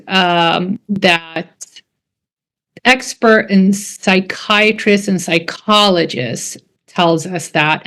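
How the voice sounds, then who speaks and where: A middle-aged woman from Jordan, hosting a podcast interview in an unhurried, emphatic way that repeats key words. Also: mid-range voice. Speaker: podcast host. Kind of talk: casual interview chat.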